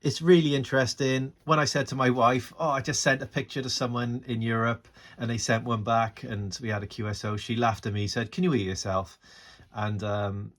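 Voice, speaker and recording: A man's voice: mid-range voice, steady tone, normal speed, a bit bright. A middle-aged man giving a casual, unscripted and enthusiastic tutorial, talking through each step as he works, recorded on a phone. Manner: enthusiastic, informal, narrating as he works. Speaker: amateur radio tutorial presenter. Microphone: phone camera microphone. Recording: noisy amateur phone video recording.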